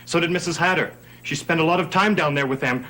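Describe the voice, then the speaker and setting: A man's voice: fairly high pitch, fast, bright, forceful tone, a little hiss or hum. An actor playing an anxious, evasive, defensive man in a 1960s television gothic soap. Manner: edgy, guarded.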